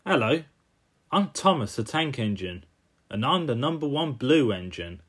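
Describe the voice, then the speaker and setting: A man's voice: medium pitch, big pitch swings, a little slow, a bit bright, slightly rough, full character voice. A man voicing a cheerful, eager young tank engine in a children's cartoon, friendly and earnest.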